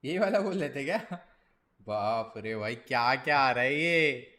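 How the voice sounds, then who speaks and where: A man livestreaming a game, chatting casually in slang, reacting excitably and muttering to himself. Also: mid-range voice, unhurried. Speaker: gaming streamer. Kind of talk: casual livestream chat with viewers. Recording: clear background noise and clicks.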